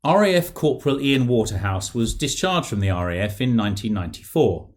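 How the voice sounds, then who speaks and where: Middle-aged British man, lively measured reader. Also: mid-range voice. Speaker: author reading from his own book. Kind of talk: measured reading aloud from a non-fiction book.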